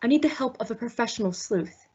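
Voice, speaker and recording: A woman's voice: fairly high pitch, expressive, fast, dark tone, breathy edge. Woman playing a stuffy, formal male executive. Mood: formal, uneasy. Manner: formal, hesitant, proper. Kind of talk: old-time radio mystery acting. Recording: dull lo-fi audio.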